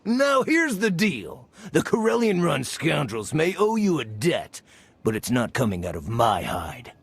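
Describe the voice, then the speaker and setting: Vain, theatrical gang leader for a game: husky, rather high, flustered and given to dramatic pauses. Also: male voice, wildly swooping pitch, no room sound, exaggerated voice. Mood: pompous. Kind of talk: video game character acting.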